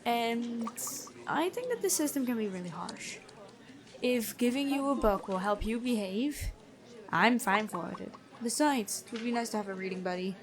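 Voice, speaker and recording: A woman playing a stern but kind, noble-born prison guard in a fantasy ASMR roleplay, talking in a measured, conversational way. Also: on the high side, animated, lightly acted. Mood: confiding.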